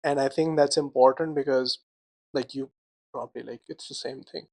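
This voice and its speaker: A man's voice: mid-range voice, moderate pace. Chatty young man, lots of "like" and "right?". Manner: animated, laughs often, many fillers like "like" and "right?". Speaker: podcast co-host. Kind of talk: casual chat.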